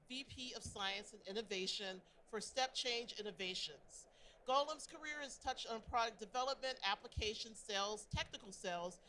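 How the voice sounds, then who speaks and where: Bright-voiced woman moderating a panel, noisy room. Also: fairly high pitch. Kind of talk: hosting a panel discussion, partly scripted introductions.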